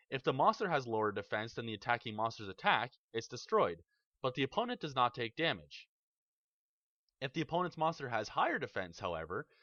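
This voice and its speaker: Male tutorial narrator, bright and animated delivery, methodical rule explainer; dry, slightly muffled home recording. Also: mid-range voice, dry recording. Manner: measured, explanatory, enumerating rules step by step. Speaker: card game tutorial host. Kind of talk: scripted instructional narration. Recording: dull, slightly lo-fi dry voice-over.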